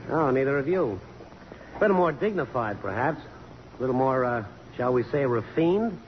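A man's voice: medium pitch, lively intonation, normal speed, lightly acted. Casting: male actor for brash, insistent ex-lover with glib patter, 1950s radio play, reverberant and faintly noisy. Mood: needling, flirtatious. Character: brash, teasing male photographer and old flame. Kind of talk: 1950s radio drama acting. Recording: dull, reverberant, noisy old radio broadcast.

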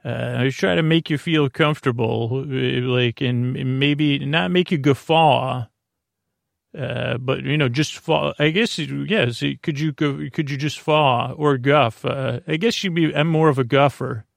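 Creaky-voiced man rambling softly. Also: mid-range voice, fairly even intonation, a little slow, no echo, clean studio sound. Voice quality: creaky, soothing, lulling. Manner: meandering, full of tangents and filler sounds. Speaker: podcast host. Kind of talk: rambling bedtime podcast intro.

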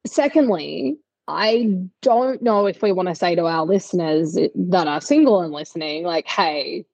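Female podcast host, chatty and effusive, lower register, somewhat lo-fi sound. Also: a little slow, gravelly, a little mellow, breathy edge, no echo. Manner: chatty, gushing, informal. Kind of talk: casual chat.

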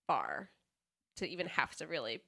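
Woman co-host, gravelly and bright, lively pitch, teasing and giggly. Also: moderate pace. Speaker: podcast co-host. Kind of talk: casual chat.

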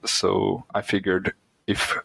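A man's voice: deep voice, completely flat delivery, slow, gravelly, bright, forceful tone, husky, no echo, poor audio. A Swedish man whose first language is not English, a guest author answering podcast questions hesitantly with many fillers. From Sweden. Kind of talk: podcast interview.

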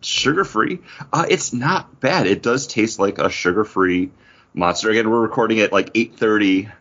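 A man's voice: fairly low pitch, animated, moderate pace, fairly bright tone, lo-fi sound. An American man, a podcast host, chatting casually on a show.

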